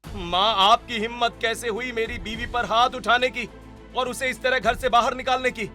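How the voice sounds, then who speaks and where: Man playing earnest grown son, bright voice. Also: extremely high pitch, fairly even intonation, normal speed, soft background music, pitched above the performer's own voice. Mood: outraged. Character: devoted adult son, indignant husband in family cartoon.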